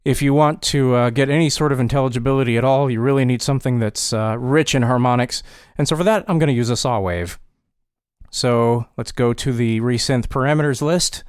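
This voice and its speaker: Male, smooth clear voice, dry close recording, casual tutorial narration, wry asides. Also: medium pitch, brisk, no echo. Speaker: tutorial presenter.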